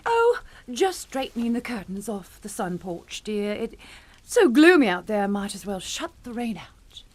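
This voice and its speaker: A woman's voice: medium pitch, big pitch swings, measured pace. An actress playing a soothing, protective middle-aged English mother in a radio suspense drama. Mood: airy, casual.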